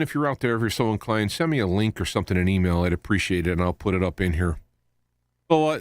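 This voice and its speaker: A man hosting a live talk show, rambling in a halting way as he wanders from point to point. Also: deep voice, no echo, studio quality. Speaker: radio show host.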